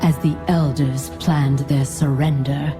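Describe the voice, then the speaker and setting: An actress playing a cursed, withering elven rebel, narrating gravely and slowly for a fantasy game cinematic. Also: female voice, deep voice, fairly even intonation. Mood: bitter sorrow. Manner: slow, grave, measured. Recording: music behind the voice.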